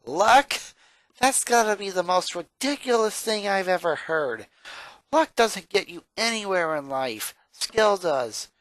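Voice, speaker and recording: A cocky tomboy girl, as a storyteller puts her on, blunt and dismissive. The voice is bright and lively, recorded dry, in a cartoon story style. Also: medium pitch, a little slow, dry recording, pitched above the performer's own voice. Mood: blunt, irritated.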